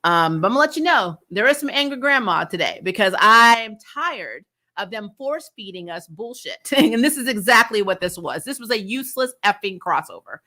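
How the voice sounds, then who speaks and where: A woman's voice: mid-range voice, expressive, moderate pace, bright, forceful tone. A woman hosting a casual review vlog, ranting and recapping in a blunt, sarcastic, exasperated way with some swearing. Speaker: host of a TV show review channel. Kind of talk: casual opinionated recap and rant.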